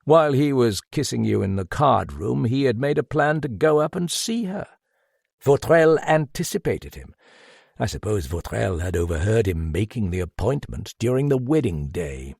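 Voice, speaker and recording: A man's voice: medium pitch, sing-song, moderate pace, vocal fry, a little mellow, breathy edge, no room sound, studio quality, full character voice. A man reading the part of a stern male detective who talks in a firm, clipped way.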